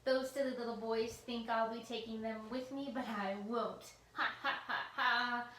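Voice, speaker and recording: Woman voicing a shouting male villain scientist. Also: fairly high pitch, slight background noise, close to an everyday voice. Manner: yelling, cackling, turns syrupy. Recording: roomy echo with faint ambience.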